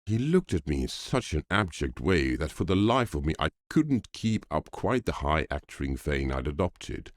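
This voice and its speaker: A man narrating a classic ghost story as an audiobook, in an expressive storytelling manner. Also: rumbling low, moderate pace, few pauses.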